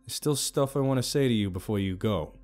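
A man's voice: fairly low pitch, fairly quick, darkish tone, close to an everyday voice. A man playing a wry, candid young man, the casual and sardonic hero of an audio story. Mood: earnest.

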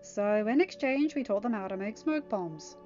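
A woman's voice: mid-range voice, animated, normal speed, mellow, lightly acted. A woman reading a story, voicing a cheeky, unrepentant teenage girl in a flippant, teasing manner. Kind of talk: amateur story reading with dialogue. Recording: dull lo-fi audio, music behind.

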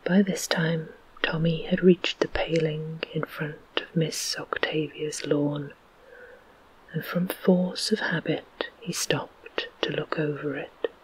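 Slow breathy whispered storytelling. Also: mid-range voice, low-quality recording. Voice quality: unvoiced whisper. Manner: slow, soft and even. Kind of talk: whispered ASMR story reading.

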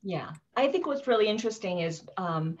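Author on a dull-sounding video call. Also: female voice, medium pitch, lively intonation, a little fast. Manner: warm, thoughtful, many ums and you-knows. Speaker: picture book author. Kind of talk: casual live book talk. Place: living room with fireplace. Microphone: laptop webcam video call.

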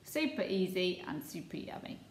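A woman, teaching young children a simple recipe in a slow, sing-song way from her home kitchen. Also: on the low side, sing-song, roomy sound. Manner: slow, sing-song, gestures as she explains. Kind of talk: cooking lesson for young children. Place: small home kitchen, indoors. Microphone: webcam or phone at close range.

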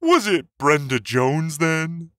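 Man acting a slow, swooping, insistent priest. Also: high-pitched, sing-song, a bit bright, no room sound, studio quality, pitched above the performer's own voice, heavily acted. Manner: deliberate, questioning, admonishing. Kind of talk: acted dialogue in a narrated joke.

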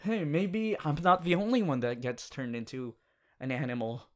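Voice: mid-range voice, very animated, moderate pace, lightly acted. A performer playing a playful, anxious young male rabbit hero boyfriend in an ASMR audio roleplay, with a hesitant, giggly manner. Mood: cheerful.

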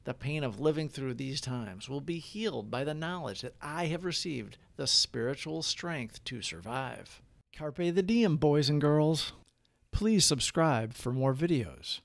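A man's voice: fairly high pitch, expressive, a little slow, vocal fry. A man hosting a recovery channel, reading prayers and daily reflections as scripted devotional narration.

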